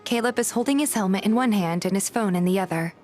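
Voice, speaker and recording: A woman narrates a scripted romantic story in the first person, reading both description and dialogue. Her pitch sits in an average female range, and music plays behind her. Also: quick pace, darkish tone. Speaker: narrator and voice actor of a fan dub.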